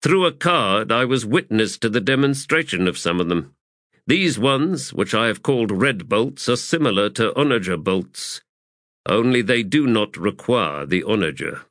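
A man's voice: fairly low pitch, lively intonation, moderate pace, a bit bright, dry recording, pitched above the performer's own voice. A man voicing a secretive, self-assured army commander in an audiobook, explaining with dry authority.

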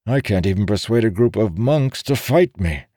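A man's voice: fairly low pitch, animated, normal speed, dark tone, dry recording, clean studio sound, voice pushed up, natural voice. A man voicing a weary, earnest soldier in an audiobook story, with a grave and formal manner. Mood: worried, earnest. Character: weary, earnest soldier, frustrated and doubted as madman.

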